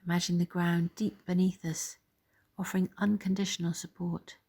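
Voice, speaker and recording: Breathy low-voiced woman guiding meditation. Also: flat delivery. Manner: soft, gentle, soothing delivery with pauses. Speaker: meditation guide. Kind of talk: guided body scan meditation.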